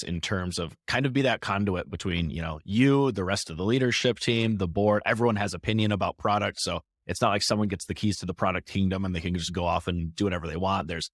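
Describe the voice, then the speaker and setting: A man's voice: deep voice, no echo, studio quality. A man interviewing a guest in a casual, conversational way, easing into his questions. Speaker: interviewer, host.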